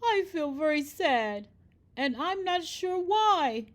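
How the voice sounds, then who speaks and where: A woman voicing a dejected, tender-hearted male orangutan in a children's storybook, with drawn-out, emotional delivery. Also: high voice, big pitch swings, voice pushed up, full character voice. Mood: wailing, sad. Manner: wailing, drawn-out. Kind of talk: children's storybook read-aloud.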